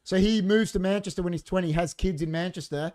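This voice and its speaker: A man's voice: high voice, fast talker, a little mellow. A middle-aged Australian man co-hosting a casual live podcast, chatty and given to repeating himself for emphasis. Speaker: podcast co-host.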